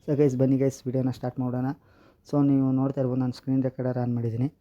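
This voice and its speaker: A man's voice: mid-range voice, flat delivery, racing, warm tone. A man giving a casual step-by-step app tutorial, often addressing viewers directly. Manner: repeatedly addresses viewers as "guys". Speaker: video tutorial host. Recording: slightly dull lo-fi audio.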